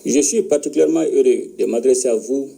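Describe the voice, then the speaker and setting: A man with a Malian accent giving a formal, scripted national address in a solemn, deliberate manner. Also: medium pitch, creaky, dark tone, rough recording. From Mali. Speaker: national leader addressing citizens.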